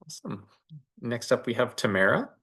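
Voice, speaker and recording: A man's voice: mid-range voice, very animated, normal speed, bright, forceful tone. A man moderating an online information session in an informal, spontaneous way. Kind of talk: informal hosting of an online presentation.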